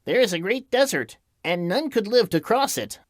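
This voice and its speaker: A man's voice: high voice, very animated, normal speed, no room sound, studio quality, pitched above the performer's own voice. A man voicing a small villager in an audiobook, grave and warning.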